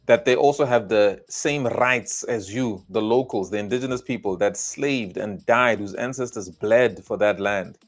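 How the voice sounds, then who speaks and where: A man's voice: lowish voice, moderate pace, few pauses, faint music behind. Emphatic South African man, scolding. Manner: emphatic, scolding, repetitive warnings. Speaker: commentator. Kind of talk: heated political commentary.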